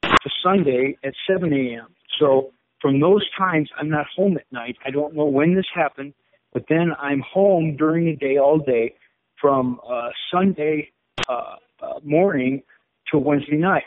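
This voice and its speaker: A man's voice: a little high, unhurried, dry recording. A man in his fifties with a Chicago accent, stammering and upset as he talks on a phone call. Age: 50s. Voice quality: stammering, repeats words. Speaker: caller. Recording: tinny phone line.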